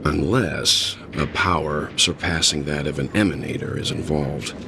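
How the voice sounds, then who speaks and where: A man playing a composed, courteous, analytical gentleman in a video game, speaking formally and deliberately. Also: extremely low pitch, gravelly, background noise, exaggerated voice. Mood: pensive. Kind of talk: video game cutscene acting.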